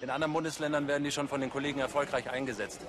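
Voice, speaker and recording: Actor as a proud, fast-talking policeman. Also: medium pitch, flat delivery, background noise, close to an everyday voice. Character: proud, gadget-loving male policeman showing off.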